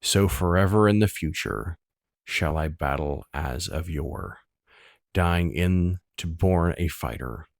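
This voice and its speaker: A man reciting poetry solemnly, with measured, deliberate phrasing. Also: sits low, animated, creaky, no room sound, clean studio sound. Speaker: poetry reader.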